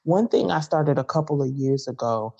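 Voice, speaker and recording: A person in their forties co-hosting a podcast, upbeat and joking, with quick asides. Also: medium pitch, dark tone, muffled recording. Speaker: podcast co-host. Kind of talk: casual chat.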